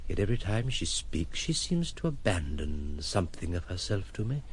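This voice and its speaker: Expressive, brooding gentleman recluse. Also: low voice, normal speed, a little hiss or hum. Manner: formal, reflective, articulate. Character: brooding, philosophical reclusive gentleman, tender and wry. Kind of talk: radio drama acting.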